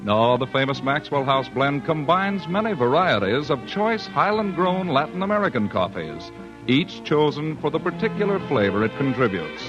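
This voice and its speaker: A man working as a radio announcer, reading a 1940s advertisement as a smooth sales pitch. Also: big pitch swings, moderate pace. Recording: muffled old radio recording. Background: music under the voice.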